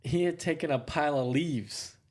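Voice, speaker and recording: A man's voice: fairly high pitch, moderate pace, a little mellow. Guy cohosting a podcast, laid back storyteller, a bit teasing. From American South. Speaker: podcast co-host. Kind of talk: casual chat.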